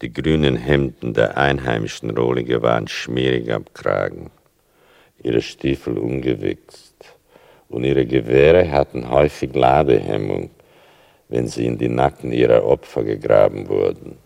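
An older man acting in a radio play as a writer son who narrates his mother's story, wry and deliberate, dwelling on small details, with a gravelly voice. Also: a bass voice, a little mellow, no echo, studio quality, full character voice. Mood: sombre, ironic.

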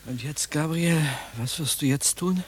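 A man's voice: fairly high pitch, unhurried, a little hiss or hum, natural voice. A man playing a gloomy, philosophising shoemaker in a radio play, sententious and fatalistic. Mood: gloomy, probing.

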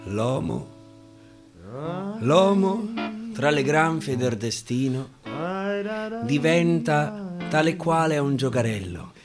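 Male storyteller, Roman accent, unhurried theatrical verse, repeated phrases, sweeping intonation, guitar accompaniment. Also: a little high, expressive. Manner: dramatic, repeating phrases for emphasis. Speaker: stage actor and storyteller. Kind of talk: theatrical recitation of dialect verse. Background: acoustic guitar.

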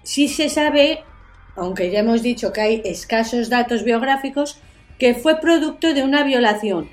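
Higher-pitched woman presenting over soft music. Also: moderate pace. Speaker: segment presenter on a radio show. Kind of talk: conversational storytelling.

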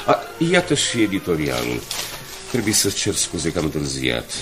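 A principled middle-aged newspaper owner in a radio play. His very low voice swings widely in pitch as he speaks with courteous, weary pride and measured emphasis. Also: sing-song, over music. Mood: courteous, brisk. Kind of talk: 1980s Romanian radio drama acting.

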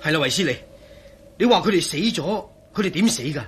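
An actor with a Hong Kong Cantonese accent playing an excitable, sceptical friend in a radio drama, animated and argumentative. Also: male voice, on the high side, brisk, slightly rough, heavily acted. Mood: curious.

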